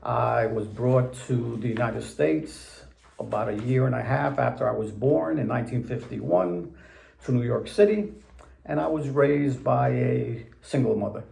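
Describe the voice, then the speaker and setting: A man in his seventies, born in Puerto Rico, recalling his path to the bench in a slow, deliberate, plain-spoken way. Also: mid-range voice, vocal fry, darkish tone, reverberant. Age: 70s. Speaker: interviewee judge. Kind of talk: measured recollection in an interview.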